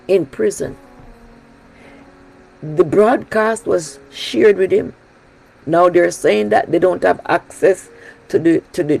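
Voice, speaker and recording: A woman's voice: deep voice, vocal fry, dark tone, husky, poor audio. A woman from Jamaica with a Jamaican accent, preaching a sermon on her prayer broadcast in an emphatic style that repeats key phrases. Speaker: pastor leading a prayer broadcast.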